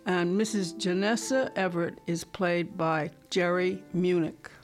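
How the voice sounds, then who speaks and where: A woman's voice: on the low side, steady tone, measured pace. A woman reading a scripted introduction to a radio play as its announcer. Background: guitar music.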